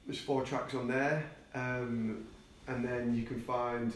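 A man's voice: mid-range voice, little pitch movement, takes it slowly, roomy sound, far from the mic, low-quality recording. A man chatting casually and laid-back, nasal and congested with a cold, swearing now and then. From England. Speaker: singer-songwriter guest. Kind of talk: casual chat.

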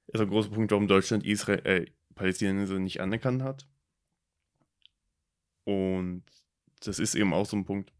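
A man's voice: lowish voice, steady tone, moderate pace, pauses often. A young man from Germany in his early twenties, co-hosting a podcast and talking things through in a reflective, hesitant way with many fillers. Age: about 20. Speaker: podcast co-host. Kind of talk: casual discussion.

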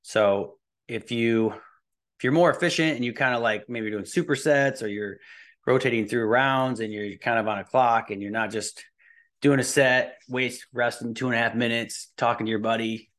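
A man's voice: mid-range voice, moderate pace, a bit bright. A man in his early forties talking casually and informally as a fitness coach being interviewed, explaining things in a rambling way. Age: early 40s. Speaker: fitness and nutrition coach, podcast guest.

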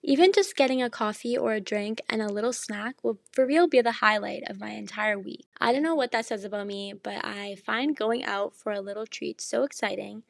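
A young woman hosting her own casual podcast, chatty and enthusiastic, jet-lagged and slightly hoarse as she loses her voice. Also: medium pitch, fairly quick, hardly any pauses, no room sound. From Canada. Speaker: podcast host. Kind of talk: casual solo podcast monologue.

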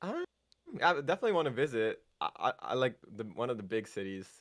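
A man's voice: mid-range voice, sing-song, dry recording. A young man with an American accent chats as an interview guest. He halts often, restarting phrases and filling gaps with small words. The recording is dry and close. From Japan. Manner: halting, many fillers and restarts, laughs often. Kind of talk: casual interview chat.

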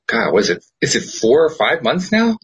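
A man's voice: fairly high pitch, big pitch swings, normal speed, husky. A man hosting a podcast in casual chat, chatty and laughing often. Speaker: podcast host.

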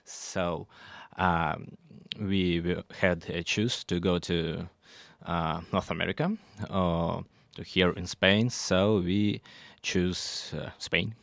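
A man in his thirties from Russia with a Russian accent, a guest talking haltingly with many filler sounds at a studio microphone. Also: sits low, steady tone, creaky, fairly bright tone, no room sound. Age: 30s. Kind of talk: halting roundtable talk, switching to English.

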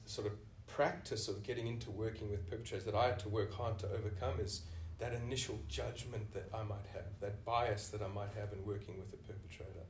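A man's voice: fairly low pitch, steady tone, fairly quick, few pauses, distant mic, a little hiss or hum. A man with a South African accent, a senior clinician answering interview questions thoughtfully, often restarting with "you know". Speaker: senior clinician, podcast guest. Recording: echoey room, voice off-mic.

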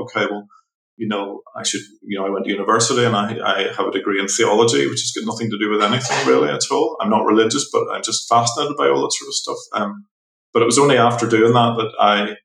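A middle-aged man from Belfast with a Northern Irish accent, telling his story as an interview guest, rambling and restarting his sentences and trailing off softly. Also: fairly low pitch, fairly even intonation, fast, vocal fry. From Northern Ireland. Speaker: watchmaker guest. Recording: echoey room.

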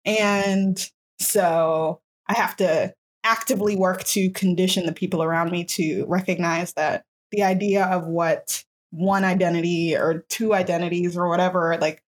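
A woman's voice: fairly low pitch, fairly even intonation, unhurried. A woman in her twenties, a podcast host, telling a personal story in a reflective, casual way with many fillers and restarts. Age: 20s.

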